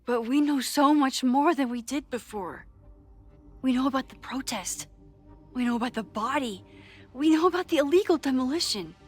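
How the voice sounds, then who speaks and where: An actress voicing an earnest, worried young woman in a naturalistic video game drama, speaking in a measured, hesitant way. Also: high voice, breathy edge, soft background music. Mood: insistent. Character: earnest, worried young woman, determined investigator with time-rewind knowledge.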